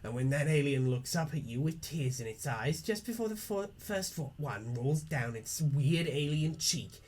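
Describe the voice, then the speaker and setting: Man voicing a husky, defiant young heroine. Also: on the high side, expressive, normal speed, darkish tone. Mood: sardonic, intense. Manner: theatrical, swearing, earnest. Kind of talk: dramatic reading of a webcomic script.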